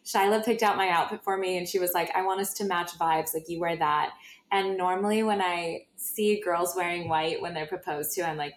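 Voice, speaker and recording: A woman hosting a podcast, telling a personal story in a casual, gushing and emphatic way. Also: fairly low pitch. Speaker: podcast host. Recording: roomy, echoey sound.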